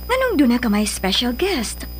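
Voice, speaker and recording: A woman's voice: a little high, sing-song, normal speed, slight background noise. An actress playing a doting but guarded mother of a grown daughter in a radio drama, with a Filipino accent. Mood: uneasy, guarded. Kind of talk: Philippine radio drama acting.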